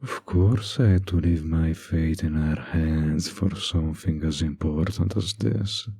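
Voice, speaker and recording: A man playing a suave, manipulative villain in a flirty roleplay audio, with a breathy, gravelly voice and a slow, teasingly polite, condescending manner. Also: a bass voice, mellow, exaggerated voice. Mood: smooth, assured.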